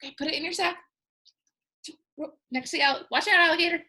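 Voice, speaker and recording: A woman teacher reading a picture book aloud to young children, expressive and full of playful asides. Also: extremely high pitch, fast. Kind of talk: children's storytime read-aloud.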